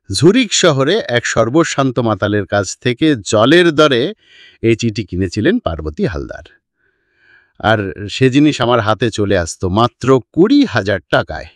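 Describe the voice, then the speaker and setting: A man's voice: medium pitch, dry recording. Man voicing a harsh, crabby old collector. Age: older. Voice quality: harsh, grating. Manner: mixes English phrases into his speech. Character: crabby old male antique collector, impressed by learning.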